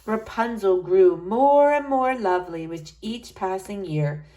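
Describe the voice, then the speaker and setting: A woman reading a fairy tale aloud as an expressive storyteller. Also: mid-range voice, measured pace, poor audio.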